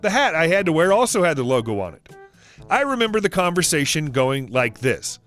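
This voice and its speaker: A man giving a scripted narration of online stories as the channel's narrator, reading in an even, steady way. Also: on the high side, fairly quick, soft background music. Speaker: story narrator.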